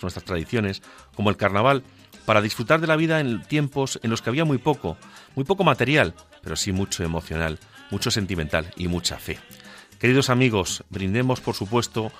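A man from central Spain with a Castilian accent and a lowish voice delivers a scripted radio monologue. He speaks in a rhetorical, emphatic, declamatory way. Music plays faintly behind him. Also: lively intonation, brisk, fairly bright tone. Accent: central Castilian Spanish. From La Mancha, central Spain. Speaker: radio contributor. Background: music under the voice.

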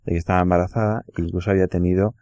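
A man who is a guest from a foundation, telling an anecdote on radio in a rushed, animated way. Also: low-pitched, smooth, dry recording, studio quality.

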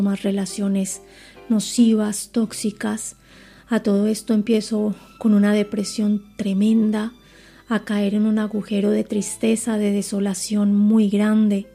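A woman's voice: mid-range voice, dark tone. A woman in her forties from Colombia giving a personal faith testimony in an earnest, reflective way.

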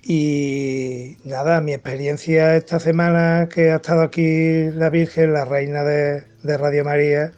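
Andalusian guy, slow n halting, pretty monotone, sharing his faith, muffled lo-fi recording. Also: fairly high pitch. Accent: Andalusian Spanish. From Chipiona, southern Spain. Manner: halting, repeats words. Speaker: radio volunteer. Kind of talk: personal devotional testimony. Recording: dull lo-fi voice recording.

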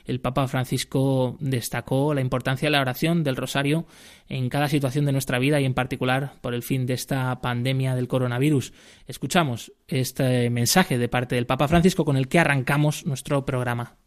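A man's voice: mid-range voice, fairly even intonation, normal speed. A man presenting a radio programme as its host. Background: music.

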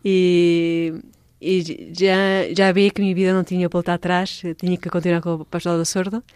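Portuguese-born nun, radio guest, low-ish smooth voice, hesitant phrasing, dry close mic. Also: female voice, level tone, a little mellow, no room sound. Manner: halting, searching for words, many restarts. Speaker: religious sister, guest. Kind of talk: radio interview.

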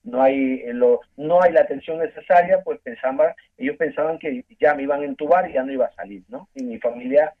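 A man from Ecuador with an Ecuadorian accent, earnestly telling his story as a guest in a phone-in interview, halting and restarting often. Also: mid-range voice. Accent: Ecuadorian Spanish. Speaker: guest giving a testimony. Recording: tinny phone line.

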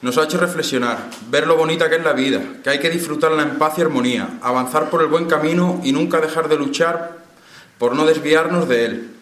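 A man's voice: on the high side, brisk, a bit bright, echoey room. A man, an inmate, reading a solemn, earnest reflection aloud. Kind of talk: read reflection.

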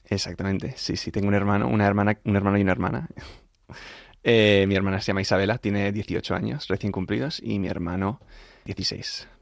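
Low, creaky young man from Madrid. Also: fairly even intonation, quick pace, dry recording, studio quality. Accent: Madrid Spanish. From Madrid, Spain. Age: young adult. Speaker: interview guest, composer and singer studying at university. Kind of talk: informal spoken testimony in an interview.

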